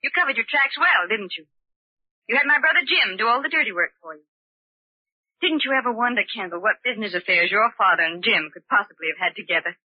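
An actress playing a desperate, defiant young wife, earnest and emphatic, in the style of old-time radio drama. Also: female voice, a little high, lively intonation, quick pace, breathy edge, no room sound. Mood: bitter, accusing. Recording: muffled vintage radio recording.

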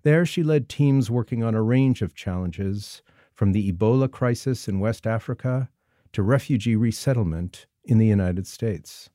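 A man hosting an interview podcast, reading a measured introduction and asking thoughtful questions. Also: lowish voice, mellow, no echo, studio quality. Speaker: podcast host.

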